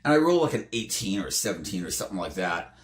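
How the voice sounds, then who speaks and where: A slightly hoarse man telling a tabletop game story in a casual, animated way. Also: fast talker. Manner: animated, jumps up in pitch for emphasis. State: slightly hoarse, losing his voice. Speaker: tabletop game player recounting a campaign. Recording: roomy, echoey room.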